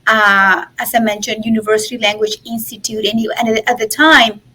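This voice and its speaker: A woman's voice: on the high side, steady tone, normal speed, pushed and bright, rough recording. A woman in her forties from Mexico, a native Spanish speaker with a Mexican accent, chattily telling her personal story as an interview guest. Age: 40s. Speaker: podcast guest, nonprofit president and business founder.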